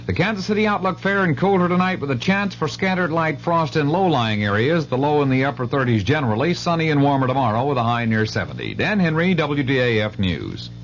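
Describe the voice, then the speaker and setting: A man's voice: on the high side, very animated, fairly quick, hardly any pauses. A man reading a scripted radio news and weather bulletin. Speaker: news announcer. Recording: dull old radio broadcast, faint hum.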